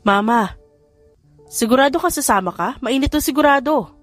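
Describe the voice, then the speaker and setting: A woman's voice: high voice, expressive, normal speed, no room sound, faint music behind, voice pushed up. A woman voicing a lovestruck young man in an audiobook, a playful and whiny son and suitor. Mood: complaining. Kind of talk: audiobook character dialogue.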